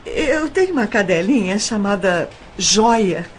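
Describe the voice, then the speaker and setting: A woman playing a polite, nervous young woman in an audio drama, speaking in a halting, earnest way. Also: medium pitch, expressive, slight background noise, close to an everyday voice. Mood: polite, anxious.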